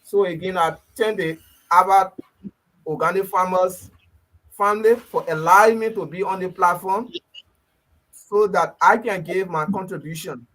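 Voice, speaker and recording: A man from Liberia, a guest on a radio talk show, speaking earnestly in short, measured phrases. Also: sits high, a bit bright, poor audio.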